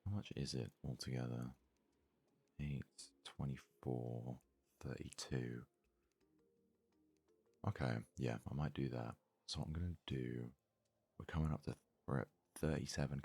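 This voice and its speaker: A man speaks in a very deep, husky murmur with little rise or fall in pitch. He is hosting an ASMR let's play, softly thinking aloud at an unhurried pace, close to the mic. His delivery stays level and gentle throughout. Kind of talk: soft-spoken ASMR gaming commentary.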